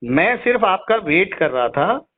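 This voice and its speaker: Middle-aged male customer, slightly high voice, challenging and insistent, recorded over a narrowband phone line. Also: sing-song, unhurried. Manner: insistent, challenging, repeats questions. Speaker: caller, insurance customer and patient. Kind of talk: argumentative phone complaint. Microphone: phone call.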